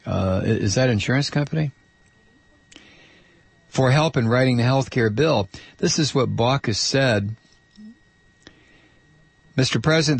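Male talk radio host, gravelly and hoarse, dry studio sound, angry sarcastic ranter. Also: mid-range voice, no echo. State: losing his voice. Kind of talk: political talk radio monologue.

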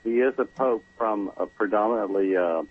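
Male radio caller, husky voice, casual and hesitant, muffled lo-fi phone sound. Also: fairly low pitch, moderate pace. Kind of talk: phone-in call. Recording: dull lo-fi phone line.

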